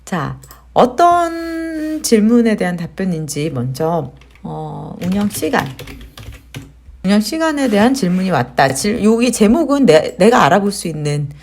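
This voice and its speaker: A woman hosting a casual step-by-step software tutorial, explaining conversationally and putting questions to her viewers. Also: mid-range voice, sing-song, a little slow. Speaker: tutorial host, digital marketer.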